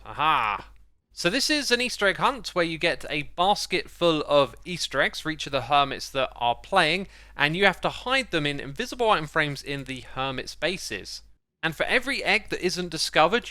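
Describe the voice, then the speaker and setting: A man's voice: a little high, bright, forceful tone, clean tone, dry recording. A man giving casual, chatty gameplay commentary as a video host, with playful exclamations, close on the mic.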